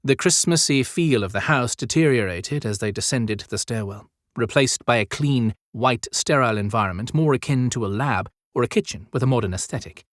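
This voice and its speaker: A man's voice: mid-range voice, a little fast, few pauses, dry recording. Dry-sounding male audiobook narrator. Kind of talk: scripted dramatic storytelling narration.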